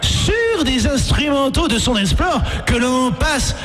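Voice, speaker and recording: A solemn, pontificating pope, as a man puts him on for a radio comedy bit. The voice sits very high and preaches in booming, drawn-out phrases. Music plays behind the radio broadcast. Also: sing-song, fairly bright tone, full character voice. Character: man playing the new pope, pompous preacher. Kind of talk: comic radio sketch.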